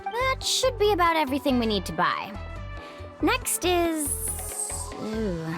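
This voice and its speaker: Animated, high-voiced eager young guide. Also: female voice, measured pace, heavily acted. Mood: upbeat, slightly nervous. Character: cheerful, eager young woman tour guide. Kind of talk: video game voice acting. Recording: game music behind the voice. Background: game music.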